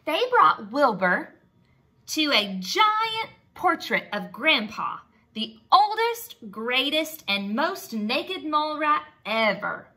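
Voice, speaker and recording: A woman teaching a read-aloud lesson to young children. Her voice is bright and fairly high, with very lively pitch. She speaks slowly and expressively in simple words, often checking in with questions.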